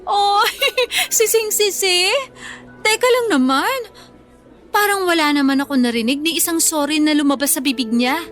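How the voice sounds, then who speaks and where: A high-pitched young Filipina student in a radio drama. She is wronged and vengeful, throwing out scornful taunts with emphatic, confrontational force. Faint music sits behind her. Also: moderate pace, fairly bright tone. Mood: mocking.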